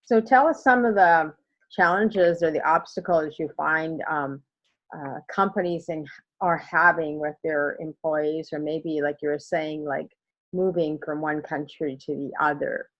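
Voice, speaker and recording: A woman's voice: low voice, fairly even intonation, unhurried, highs cut off. A woman hosting a podcast, chatting casually and asking rambling questions with small laughs. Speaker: podcast host.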